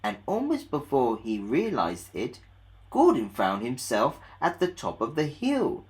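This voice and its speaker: A man narrating a children's read-along story in a measured, expressive way. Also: medium pitch, heavy background noise.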